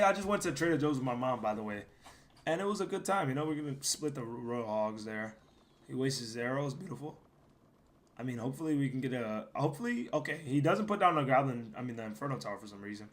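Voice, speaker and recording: A young man, hoarse from losing his voice, giving casual, profane commentary over his gameplay and rambling between plays, sometimes breaking into loud yells. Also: mid-range voice, animated, fast. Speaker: gaming streamer.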